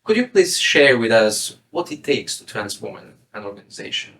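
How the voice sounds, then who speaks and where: A man's voice: medium pitch, big pitch swings, normal speed, fairly bright tone. A man hosting a podcast interview in a polite, formal way, introducing and questioning.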